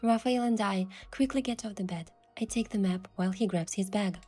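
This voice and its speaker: A woman playing a young romantic heroine, narrating her adventure in a soft, measured, wistful way for a game story. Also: medium pitch, slightly rough, faint music behind, lightly acted. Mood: earnest, wistful. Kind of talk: scripted first-person story narration.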